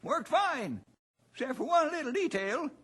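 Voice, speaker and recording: Cranky, high-pitched folksy old codger. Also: male voice, big pitch swings, normal speed, sharp tone, heavily acted. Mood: folksy, eager. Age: older. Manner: rustic, folksy, blustering drawl. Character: cranky, folksy old-timer, boastful musical jokester. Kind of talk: old-time radio drama acting.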